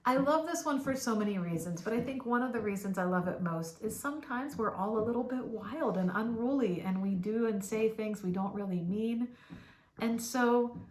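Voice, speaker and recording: A woman's voice: a little high, normal speed. A middle-aged woman, a school librarian, reading a picture book aloud expressively to children.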